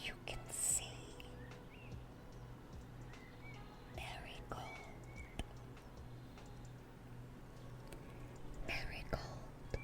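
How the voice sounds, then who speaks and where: A woman playing a dorky, lovestruck young demon in a whispered ASMR roleplay, speaking in a breathy, earnest, flustered way. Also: natural voice. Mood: tender, soft. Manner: flustered, stammering, gushing, earnest.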